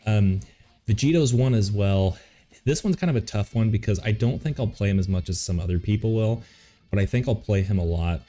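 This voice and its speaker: A deep-voiced man with a warm, mellow tone chats loosely about games, full of ums and restarts. He is coming down with a cold and sniffles a little. Faint game music plays underneath. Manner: rambling, relaxed, many ums and self-corrections. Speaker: gaming video host. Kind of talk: casual commentary ranking game characters.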